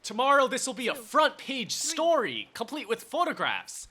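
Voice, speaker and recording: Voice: high voice, huge pitch swings, normal speed, sharp tone, full character voice. An actor as a boisterous, swooping drunk. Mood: drunken admiration. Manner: declamatory, slogan-like toasts. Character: pompous drunken chief dissector, theatrical and boisterous. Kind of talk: radio play acting.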